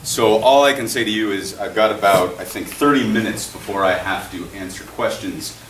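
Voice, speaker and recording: Man, low voice, relaxed wry lecture, far from the mic, roomy echo. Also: moderate pace, a little hiss or hum. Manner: relaxed, wry, plain-spoken. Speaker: public defender, speaker. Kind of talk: public lecture. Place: school hall. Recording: echoey room, distant mic.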